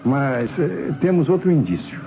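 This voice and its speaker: An actor playing an earnest, literal-minded police detective, a subordinate officer in an old radio crime drama. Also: male voice, on the high side, very animated, unhurried, over music. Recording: muffled, noisy vintage radio recording.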